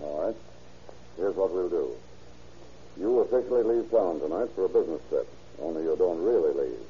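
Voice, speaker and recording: An actor in an old radio crime drama playing a steady, shrewd police chief who goes about as a hobo. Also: male voice, sits low, moderate pace. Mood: businesslike. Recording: dull, hissy old radio recording.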